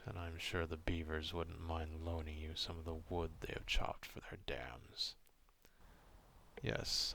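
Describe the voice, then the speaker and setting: A man acting out a gruff, proud, distrustful werewolf in an ASMR roleplay, stern and curt but grudgingly caring, in a gravelly half-whisper. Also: low voice, fairly bright tone, a little hiss or hum, full character voice. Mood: grudgingly generous.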